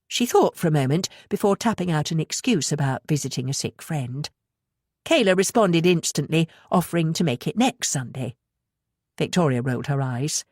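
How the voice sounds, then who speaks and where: A woman with a husky voice reading a novel aloud in a measured, expressive storytelling manner. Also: sits low, no room sound, clean studio sound. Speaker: audiobook narrator. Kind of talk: scripted fiction narration.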